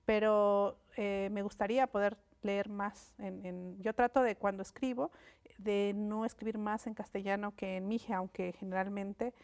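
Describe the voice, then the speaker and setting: A woman from Mexico, a native Mixe speaker, talks as a studio interview guest. Her voice sits in a middle register, and she builds her points slowly, stopping for fillers and restarting phrases as she thinks aloud. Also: medium pitch, steady tone, a little mellow. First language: Mixe (Ayuujk). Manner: reflective, frequent fillers and restarts. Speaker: guest, linguist and writer. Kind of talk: thoughtful conversational interview. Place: TV studio set.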